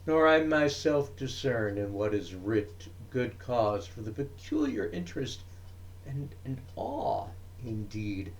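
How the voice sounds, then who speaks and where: Man reading as ancient learned physician, mid-pitched, unhurried, formal, pensive, troubled, dramatic verse monologue. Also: expressive, low-quality recording. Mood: apologetic, pensive.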